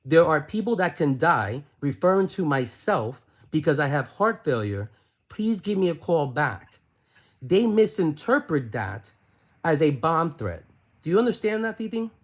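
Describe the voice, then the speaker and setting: A man's voice: a little high, sing-song, unhurried. A man in a heated phone argument, insistently repeating himself and pressing question after question. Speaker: caller questioning his aunt.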